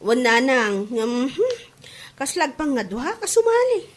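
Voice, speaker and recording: A woman's voice: sits high, big pitch swings, a little slow, heavily acted. A woman playing an eager daughter in an Ilocano comedy drama. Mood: earnest. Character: eager daughter hoping to win singing contest.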